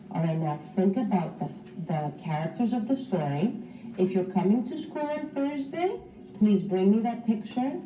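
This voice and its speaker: Female teacher, animated storybook read-aloud, distant roomy recording, dull sound, music underneath. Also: medium pitch. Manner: expressive, instructive, addressing young children. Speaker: teacher reading aloud to pupils.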